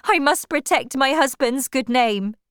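A proud, troubled young farm woman played by a woman narrator, her voice lifted high and urgent. She protests with distress in a dry storybook recording. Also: lively intonation, slow, fairly bright tone, no room sound, heavily acted. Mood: firm, upset.

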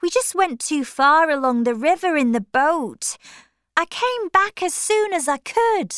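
A woman's voice: lively intonation, a little slow, a bit bright, no echo, studio quality, heavily acted. A woman voicing a principled young woman in a storybook reading, speaking earnestly and pleadingly. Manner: earnest, pleading, raised pitch.

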